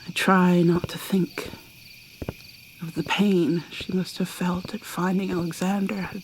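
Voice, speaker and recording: A woman playing an ancient vampire mermaid in an ASMR roleplay, whispering softly and haltingly with a wistful manner. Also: on the low side, little pitch movement, a little hiss or hum, natural voice, low-quality recording. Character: ancient female vampire mermaid, guarded and sorrowful.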